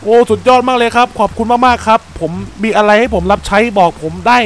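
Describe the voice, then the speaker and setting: A young man giving playful, excitable commentary while playing a block-building game, exclaiming often and joking with viewers. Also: very high voice, fast, a little hiss or hum. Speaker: Minecraft gameplay YouTuber.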